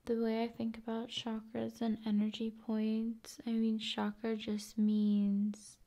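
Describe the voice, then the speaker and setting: Female ASMR host: unhurried, soothing, half-whispered delivery, flat intonation. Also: a little high. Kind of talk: ASMR, soft-spoken and whispered rambling.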